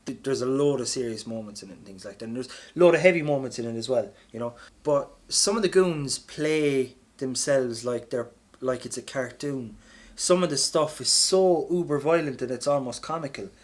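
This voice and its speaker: A man with an Irish accent and an average-pitched voice chats as a podcast co-host. His talk is loose, rambling and colloquial, with plenty of swearing. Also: lively intonation, moderate pace. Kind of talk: casual chat.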